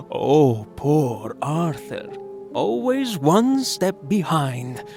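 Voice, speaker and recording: A man's voice: fairly high pitch, big pitch swings, gravelly, a little mellow, full character voice. A man voicing a silky, mocking ageless vampire. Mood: gloating. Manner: silky, taunting, slow and theatrical. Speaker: villain. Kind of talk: audio drama acting. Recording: music score behind the voice.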